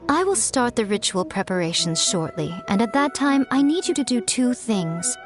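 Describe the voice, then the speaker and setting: A woman's voice: on the high side, very animated, moderate pace. A woman voicing a sly, teasing fox-spirit shrine priestess who speaks coyly and gives orders with ease, in a video game. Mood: instructive. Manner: playful and coy, smoothly commanding. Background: game music.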